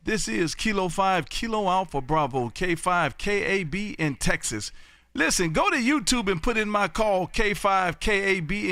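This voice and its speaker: A man's voice: sits high, pushed and bright, dry recording. An American man hosting an amateur radio live stream in a folksy, repetitive patter, chatting casually with callers. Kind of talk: lively ham radio live stream chat.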